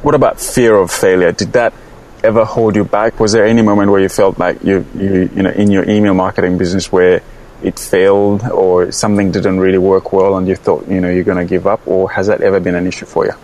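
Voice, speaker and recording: Low-voiced male interviewer, halting delivery. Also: little pitch movement, darkish tone, poor audio. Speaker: podcast host, interviewer. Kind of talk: conversational interviewing.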